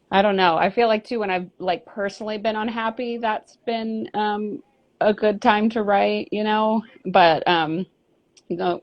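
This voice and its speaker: Gravelly low-voiced woman, lo-fi call audio. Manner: candid, rambling, many fillers and restarts. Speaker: band singer and bassist, guest. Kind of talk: casual interview chat. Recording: lo-fi dull audio.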